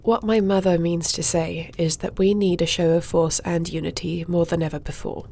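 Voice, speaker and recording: A woman playing a guarded, dutiful young warrior princess in a fantasy audio roleplay, speaking formally and gently. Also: on the low side, steady tone, moderate pace, creaky. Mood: composed, polite. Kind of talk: audio roleplay fantasy acting, addressing listener.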